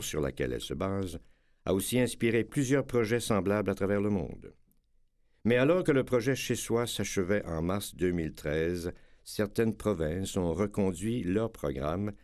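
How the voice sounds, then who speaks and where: Male radio host, very deep voice, measured reader. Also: darkish tone. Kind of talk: reading magazine articles on air.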